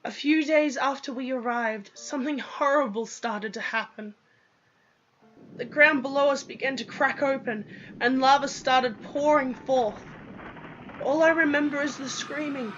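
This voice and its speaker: A young woman narrates a first-person tale in a dramatic storytelling style. Her voice is fairly high and very bright. The recording is dull and lo-fi, with music playing behind her. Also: a little slow. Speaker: reader of own story.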